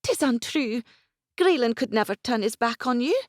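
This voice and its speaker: A woman voicing an emotional young noblewoman, speaking high and pleading. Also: animated, normal speed, no echo, clean studio sound, close to an everyday voice. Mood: distraught.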